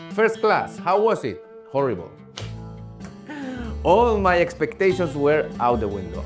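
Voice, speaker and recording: A young man from Spain with a Spanish accent, telling a casual, animated vlog story in English. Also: medium pitch. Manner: animated, talkative, acts out quoted voices. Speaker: vlogger.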